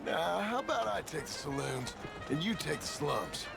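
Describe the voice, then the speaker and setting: Tired blunt cowboy outlaw, gravelly, game music under it. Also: male voice, fairly high pitch, very animated, normal speed, heavily acted. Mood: gruff. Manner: blunt, clipped. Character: gruff, weary middle-aged male outlaw. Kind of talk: video game dialogue.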